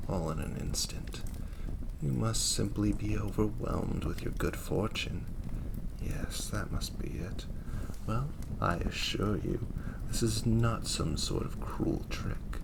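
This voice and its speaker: Demon prince, played by a man, very low and gravelly; silky, haughty, possessive courtly seducer for fantasy audio roleplay, fire ambience behind. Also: heavily acted. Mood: coaxing, possessive. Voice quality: low gravelly voice, often dropping to breathy murmur. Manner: silky, formal, unhurried, haughty courtly speech. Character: suave, commanding, possessive demon prince, flirtatious and indulgent. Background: crackling fire ambience.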